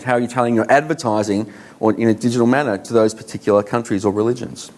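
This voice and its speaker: A man's voice: mid-range voice, darkish tone. A man with an Australian accent giving a conference talk to an audience, conversational, with many fillers and rhetorical questions. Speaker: conference speaker.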